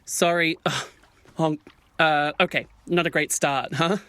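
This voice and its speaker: Hesitant, higher-voiced man as an insecure swan. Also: steady tone, unhurried, pushed and bright, clear, resonant tone, no room sound. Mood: nervous, awkward. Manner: hesitant, awkward. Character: insecure, self-deprecating male swan seeking therapy. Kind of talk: audio drama dialogue.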